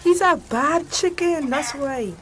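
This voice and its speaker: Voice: high voice, a little slow, a bit bright. A performer playing a sweet, obedient seven-year-old boy in a radio drama. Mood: hesitant. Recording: faint noise, rooster and hens clucking.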